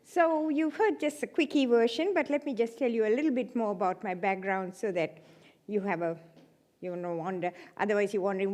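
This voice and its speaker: A woman's voice: medium pitch, darkish tone. A woman in her seventies from Sri Lanka, giving a chatty church talk with self-deprecating humour. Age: 70s. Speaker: guest speaker. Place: church hall.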